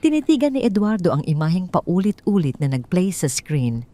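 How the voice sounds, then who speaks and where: A woman storyteller with a fairly low voice and a soft, dark, mellow tone. She reads scripted drama as an audiobook narrator, with measured and formal phrasing. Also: very animated.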